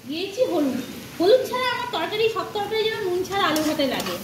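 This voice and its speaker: Very high-pitched woman in an echoey kitchen. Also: big pitch swings, fast. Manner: chatty, explains each step with asides. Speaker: home cooking vlogger. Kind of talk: casual cooking narration to viewers. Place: home kitchen. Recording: echoey kitchen with clear sizzling and stirring noise. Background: frying sizzle, stirring.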